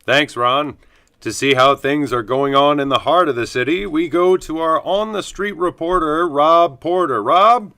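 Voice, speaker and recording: Bright, chipper news anchor. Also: male voice, fairly high pitch, unhurried, clean tone. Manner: polished, upbeat broadcast delivery. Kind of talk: parody newscast.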